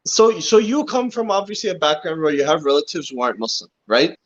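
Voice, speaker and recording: Male podcast host, high pitched, rapid questioner, muffled lo-fi audio. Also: sing-song. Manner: quick, probing follow-up questions. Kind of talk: interview questions.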